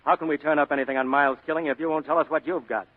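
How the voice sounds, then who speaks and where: An actor playing a reasonable, peacemaking police detective in a 1940s radio drama style. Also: male voice, fairly high pitch, fairly even intonation, rapid-fire, close to an everyday voice. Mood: placating. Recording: reverberant, tinny vintage radio broadcast.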